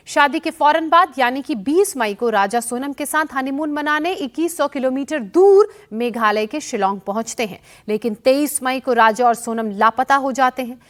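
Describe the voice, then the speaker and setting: A woman's voice: high voice, fairly bright tone. A woman news presenter narrating a scripted report to viewers in an emphatic, explanatory way.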